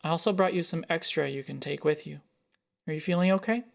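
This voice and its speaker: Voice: medium pitch, fast, creaky, lightly acted. A narrator voicing a chatty, caring mother with a soothing manner in a short story. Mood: gentle.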